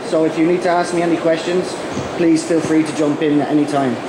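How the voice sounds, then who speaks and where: Irish man, has a cold, halting conference talk, noisy background. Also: on the high side, fairly even intonation. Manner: halting, many ums and restarts. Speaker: conference speaker. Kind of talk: conference presentation. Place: conference hall. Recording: echoey hall with background noise.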